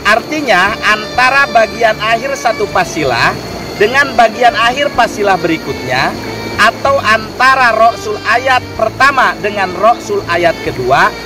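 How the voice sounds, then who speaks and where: A man giving an explanatory religious lecture in a measured, didactic way, phrase by phrase. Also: high voice. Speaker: lecturer on Quranic studies. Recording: distant mic with music behind. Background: clear background music.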